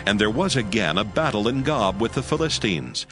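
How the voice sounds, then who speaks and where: A man narrating a dramatized Bible from a script in a formal, measured storytelling manner. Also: lowish voice, very animated, over music, rough recording.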